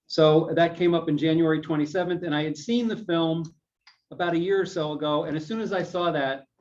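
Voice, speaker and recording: A man hosting a film discussion group in a meeting room, affable and quick to agree with speakers. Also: fairly high pitch, darkish tone. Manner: affable, quick to agree, says "right" and "yes" often. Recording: distant, dull lo-fi room recording.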